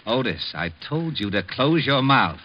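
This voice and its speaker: A man playing a gruff, exasperated police lieutenant in an old-time radio drama, curt and commanding. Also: mid-range voice, sing-song, measured pace. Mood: gruff, bullying. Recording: dull old radio recording, faint noise.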